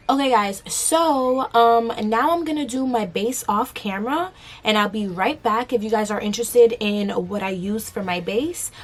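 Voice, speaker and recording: A young woman with a raspy, hoarse voice after losing it, chatting casually through a makeup tutorial and giggling now and then. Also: medium pitch. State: hoarse, lost voice. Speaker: beauty vlogger.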